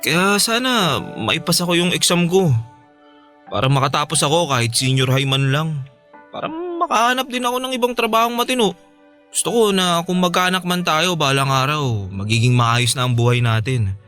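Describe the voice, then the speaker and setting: A man's voice: a little high, animated, moderate pace, pushed and bright. An actor playing a meek young Filipino boyfriend in a radio drama, hesitant and stammering as he pleads. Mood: earnest, hopeful. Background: faint music.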